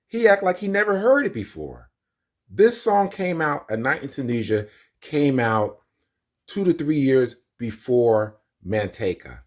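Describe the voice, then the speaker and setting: A man hosting an argumentative video commentary, explaining insistently and addressing viewers directly. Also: medium pitch. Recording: roomy echo, distant from mic.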